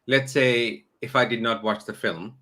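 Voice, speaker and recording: A man with a Bangladeshi accent and a bright, forceful voice of medium pitch hosts an interview. He talks conversationally, doubling up words and assembling each question in short pieces. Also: steady tone, a little slow. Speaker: interview host.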